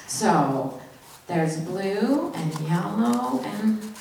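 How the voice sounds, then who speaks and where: A woman's voice: mid-range voice, animated, extremely slow, gravelly, a little mellow. A woman leading a children's message in church, talking informally and simply to children. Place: church, indoors. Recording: distant, very echoey, noisy church recording.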